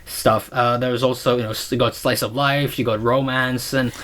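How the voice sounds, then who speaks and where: A man's voice: medium pitch, flat delivery, moderate pace, a bit bright. A young man with a mixed American and Latin accent, hosting a casual podcast chat and rambling with many restarts. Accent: mix of American and Latin. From United Kingdom. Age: young adult. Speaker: podcast host.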